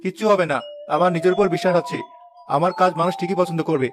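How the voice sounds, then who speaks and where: Man voicing a cocky, very fast-talking young son. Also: sits high, steady tone, over music, natural voice. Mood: defiant. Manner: boastful, argumentative. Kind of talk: moral-story cartoon dialogue.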